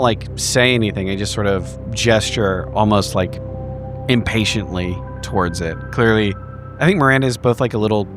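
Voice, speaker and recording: A man playing a tabletop roleplaying game, improvising in character in an argumentative way and swearing casually. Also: fairly low pitch.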